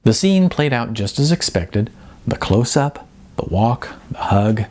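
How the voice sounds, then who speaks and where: A man's voice: medium pitch, very animated, a little slow, darkish tone. A man reading scripted story narration as an audiobook narrator.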